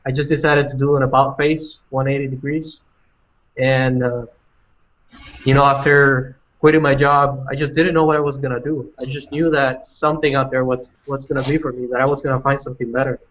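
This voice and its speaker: A man's voice: medium pitch, little pitch movement. A young man from Colombia, telling his own story on a panel and restarting his sentences often. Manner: halting, many restarts and fillers. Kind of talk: personal story on a webinar. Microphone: video call. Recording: dull lo-fi video call audio.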